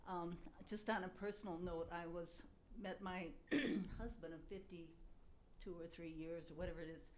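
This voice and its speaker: Woman in her seventies, lowish voice, halting, casual audience question, faint background noise. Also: steady tone, low-quality recording. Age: 70s. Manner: halting, searching for words. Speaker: audience member asking a question. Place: live book talk audience. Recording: slight noise on event recording.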